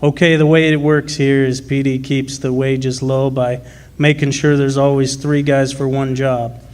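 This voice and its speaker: A man plays a gruff union miner in a radio play, speaking in folksy working-class phrases. His pitch stays level and narrow, proud and plainspoken. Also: level tone, a little slow, a little mellow, natural voice. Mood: earnest, persuasive. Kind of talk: live original radio drama.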